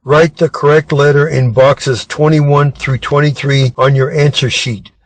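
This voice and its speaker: A man with an American accent reads scripted exam questions aloud in a measured, deliberate manner. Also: on the high side, level tone, dry recording. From Arizona, United States. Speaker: reader of test material.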